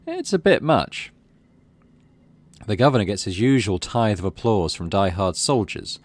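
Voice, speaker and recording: A middle-aged man hosting a game playthrough, commenting casually and reading the story text aloud. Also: fairly low pitch. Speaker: gaming video host.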